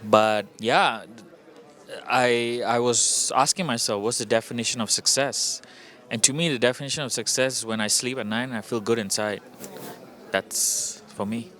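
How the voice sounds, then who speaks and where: A man's voice: medium pitch, moderate pace, a bit bright. A man from Indonesia giving casual interview answers as a filmmaker, upbeat and reflective.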